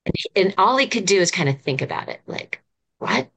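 A woman in her fifties speaks as a podcast guest, with a slightly low voice. She tells her stories with earnest, eager warmth of conviction. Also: sing-song, a little fast. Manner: earnest, enthusiastic storytelling. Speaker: children's ministry professor, guest. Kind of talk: podcast conversation.

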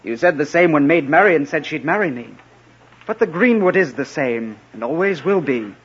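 An actor playing a bold, confident outlaw hero with hearty declamation in 1950s radio drama style. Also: male voice, fairly high pitch, expressive, normal speed, slightly rough. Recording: dull old radio recording.

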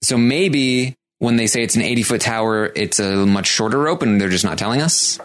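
A man's voice: mid-range voice, normal speed. A man co-hosting a casual podcast, talking things through with dry, teasing humor. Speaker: podcast co-host.

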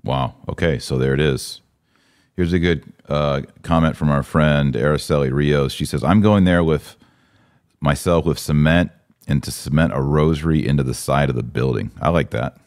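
A man's voice: very deep voice, normal speed, darkish tone. An American man hosting a podcast in a casual, opinionated and joking conversational way. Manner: informal, joking, reads out listener comments. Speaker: podcast host.

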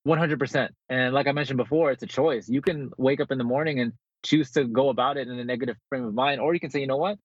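A man's voice: mid-range voice, quick pace, few pauses, husky, dry recording, highs cut off. A man from New Jersey, born in the Philippines, speaking as a motivational guest in a conversational interview over a remote call. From born in the Philippines, grew up in New Jersey.

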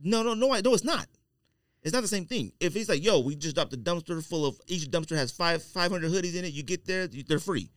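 Animated, clear-voiced American man debating. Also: a little high, fairly quick, no echo, clean studio sound. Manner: emphatic, argumentative, repeats himself. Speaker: podcast host. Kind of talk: casual podcast chat and debate. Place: indoor podcast studio.

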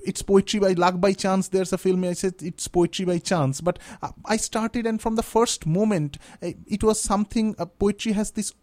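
A man's voice: high voice, steady tone, moderate pace, few pauses, a little mellow. A man in his thirties whose first language is Bengali, a guest in a radio studio interview, speaking reflectively and searching for words as he goes. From India. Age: 30s. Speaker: guest writer.

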